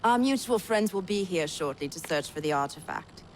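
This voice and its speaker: An actress playing a cold, commanding Templar villain with a curt, imperious manner in a video game. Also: female voice, fairly low pitch, animated, normal speed, slight background noise, lightly acted. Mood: cool, businesslike. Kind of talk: video game cutscene acting.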